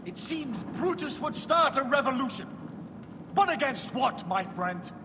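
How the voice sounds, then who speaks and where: A proud roman dictator, grand speechy delivery, high voice, big echoey hall w noise. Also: male voice, big pitch swings, unhurried, heavily acted. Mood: grandly persuasive. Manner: declamatory, oratorical. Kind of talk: dramatic video game cutscene acting. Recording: noisy, long reverberant hall.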